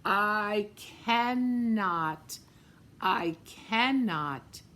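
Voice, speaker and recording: A woman's voice: medium pitch, lively intonation, extremely slow, a bit bright. A woman voicing a tired, rusty old male engine in a storybook, sighing and drawn out. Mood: weary.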